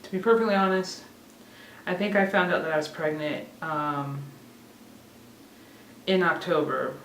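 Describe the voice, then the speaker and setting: A woman's voice: deep voice, steady tone, unhurried, a bit bright. Woman, 30s, casual vlog chat, rambling, self-laughing, roomy echo, distant mic. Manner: chatty, rambling, laughs at herself. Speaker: vlogger. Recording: echoey room, mic a bit far off, faint hiss.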